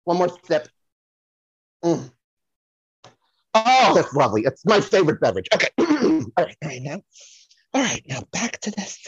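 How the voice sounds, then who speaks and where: A flamboyant, easily distracted psychic from a comedy audio play. The voice is husky and swings widely in pitch, rambling and gushing with theatrically stretched words. The recording is dry and a little muffled. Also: very animated, dry recording. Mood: indulgent, chatty. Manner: rambling, theatrical, repeats phrases, drawn-out dramatic words. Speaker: podcast guest playing a psychic. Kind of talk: improvised comic audio play, podcast spoof.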